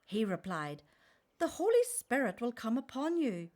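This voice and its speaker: Casting: devout young woman, high voice, very expressive swings, earnest and wondering, radio drama. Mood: wondering, reverent. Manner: earnest, wondering, measured storytelling. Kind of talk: radio play acting.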